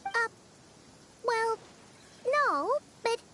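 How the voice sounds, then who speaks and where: Tiny, squeaky, very high-pitched fairy sidekick. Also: female voice, animated, extremely slow, bright, forceful tone, slight background noise, heavily acted. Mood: hesitant. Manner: chirpy, informal, squeaky. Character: tiny childlike fairy companion, perky, curious and plain-spoken. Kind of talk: scripted video game character dialogue. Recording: game audio with background music.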